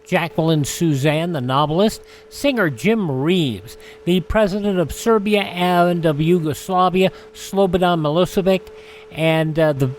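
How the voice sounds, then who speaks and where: A male radio host reading a history rundown in a measured way with wry asides. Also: fairly high pitch, faint music behind.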